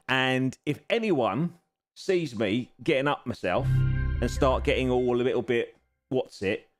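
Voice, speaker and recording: Lively, self-deprecating man in his fifties. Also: medium pitch. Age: 50s. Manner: chatty, self-deprecating, chuckles often. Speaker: sim racing streamer. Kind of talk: casual live stream chat. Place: seated at a home racing simulator rig.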